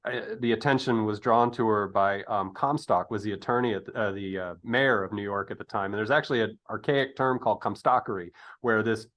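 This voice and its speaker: Low-voiced man hosting a chat. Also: fairly bright tone. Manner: digressive, halting with self-corrections; jocular, chuckles often. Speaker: podcast interview host. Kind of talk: casual interview chat with rambling history asides.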